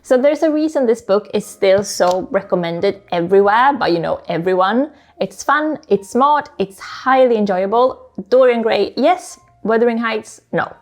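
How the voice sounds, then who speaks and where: Swedish-born woman doing a casual book recs vlog, mid-pitched voice, chatty and informal. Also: lively intonation, normal speed, few pauses. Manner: chatty, informal, frequent "like" asides. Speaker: book YouTuber, host. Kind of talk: casual book recommendation talk to camera.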